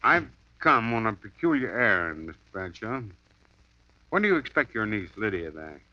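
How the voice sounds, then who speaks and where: A man's voice: on the low side, big pitch swings, moderate pace, no room sound. An actor playing a wisecracking, breezy male private detective in a 1950s radio drama. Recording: dull lo-fi 1950s radio broadcast.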